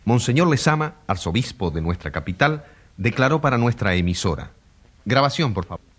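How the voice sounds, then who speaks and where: A man playing a grave radio reporter in a drama, narrating with a husky voice and declamatory, rhetorical delivery. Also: medium pitch, lively intonation, brisk. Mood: grave, dramatic. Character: grave male radio reporter covering a controversial trial.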